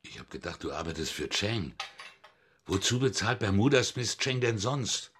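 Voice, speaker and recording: An actor playing a bitter, accusing small-time crook in a radio drama, husky-voiced, coarse and confrontational. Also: male voice, on the low side, expressive, normal speed, fairly bright tone, a little hiss or hum.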